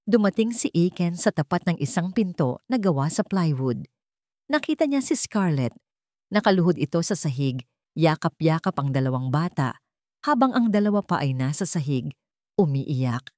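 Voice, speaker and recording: A woman's voice: sits low, very animated, normal speed, dark tone, no room sound, studio quality. A woman reading a scripted love story as narrator, in a dramatic storytelling style.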